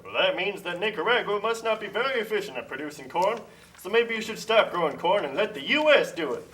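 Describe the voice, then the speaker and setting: Man playing a high, bright, smug pitchman. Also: animated, fairly quick, recorded from a distance, rough recording. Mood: smug, promoting. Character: pompous pitchman personifying free-trade agreement and neoliberalism. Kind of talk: puppet show satire, explanatory pitch. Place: community TV studio.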